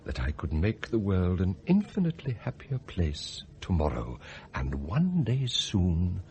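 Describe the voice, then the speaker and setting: Eloquent scheming English butler, wildly expressive. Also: male voice, deep voice, measured pace, full character voice. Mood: bitter, fervent. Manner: theatrical, articulate, formal, given to rhetorical flourishes. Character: eloquent, scheming male butler, sardonic and idealistic. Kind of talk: radio drama acting.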